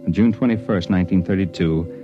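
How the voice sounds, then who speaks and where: A man's voice: deep voice, a little fast, natural voice. An American actor playing a bewildered, uneasy man who narrates his strange ordeal in a vintage radio drama. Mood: grim recounting. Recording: dull vintage radio recording, faint music.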